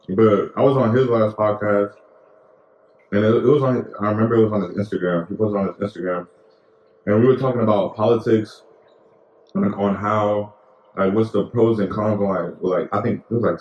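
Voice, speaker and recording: A man's voice: fairly low pitch, fairly even intonation, normal speed, a little mellow, echoey room, lo-fi sound. A young man co-hosting a podcast in casual, rambling chat full of slang and fillers. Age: young adult. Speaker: podcast co-host.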